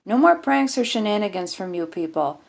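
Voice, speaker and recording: A woman's voice: mid-range voice, animated, fairly quick, vocal fry. A woman voicing an irritable male military sergeant in an audiobook story, sweary, indignant and commanding. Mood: stern, annoyed.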